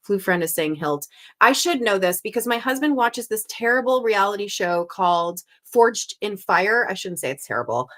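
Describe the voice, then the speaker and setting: Bright-voiced, chatty Canadian woman host. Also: medium pitch, lively intonation, moderate pace. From Canada (Montreal). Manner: upbeat, chatty, thinks out loud. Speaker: host of a live crossword stream. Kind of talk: casual live-stream chat, reading viewer guesses aloud.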